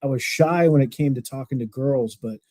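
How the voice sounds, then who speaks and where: A man's voice: medium pitch, fairly quick, dark tone, no echo. Casual, filler-heavy male podcast host. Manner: loose, filler-heavy, self-deprecating joker. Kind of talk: casual interview chat.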